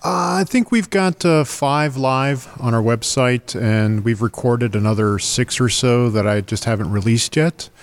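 A man with a deep baritone voice who produces radio dramas, speaking in a hesitant, casual interview style with many pauses. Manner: hesitant, many fillers and restarts, chuckles.

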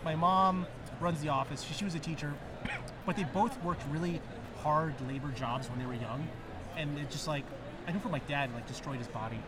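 A man chatting in a rambling, laughing, animated way with lots of fillers as a podcast guest. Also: on the high side, a little fast. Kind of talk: casual chat. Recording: crowd noise behind. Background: crowd chatter.

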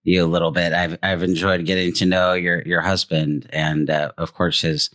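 A man's voice: low-pitched, flat delivery, moderate pace, fairly bright tone, no room sound, clean studio sound. A man hosting a podcast interview, talking casually with many fillers and restarts. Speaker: podcast host.

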